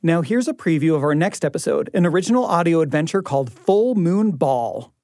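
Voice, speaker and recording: A man's voice: on the high side, expressive, fairly quick, mellow, dry recording, studio quality. An American man in his thirties or forties, speaking as a co-host on a family podcast. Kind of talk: friendly chat and scripted announcing. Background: faint music.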